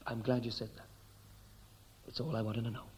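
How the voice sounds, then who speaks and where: A man's voice: mid-range voice, flat delivery, fairly quick, darkish tone, a little hiss or hum, lightly acted. Cynical homecoming sailor male actor, blunt and dryly sardonic, 1960s radio drama. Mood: blunt, probing. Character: cynical Welsh seaman home after years away.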